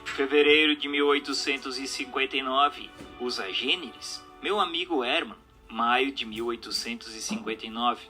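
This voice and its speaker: A man reading a book aloud as narrator, in a formal, measured, didactic way. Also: medium pitch, pushed and bright, faint music behind. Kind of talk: scripted narration, audiobook reading.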